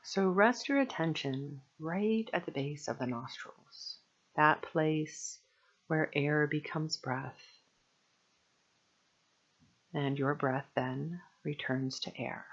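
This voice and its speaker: A woman guiding a meditation as a teacher, speaking gently and soothingly in measured phrases with long pauses. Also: deep voice, muffled recording. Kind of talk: guided meditation narration.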